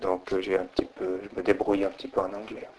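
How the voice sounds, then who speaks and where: A middle-aged man from Portugal, answering interview questions hesitantly and pausing often. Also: deep voice, monotone, noisy background. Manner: hesitant, many fillers and restarts. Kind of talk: oral history interview.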